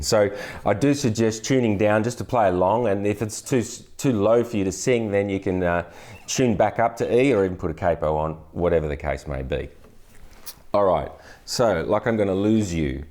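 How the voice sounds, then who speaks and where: A man, teaching guitar in a relaxed, chatty way with plenty of restarts, on a lapel mic in a studio. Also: low voice. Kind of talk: casual guitar tutorial. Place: indoor studio, brick wall backdrop. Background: acoustic guitar playing.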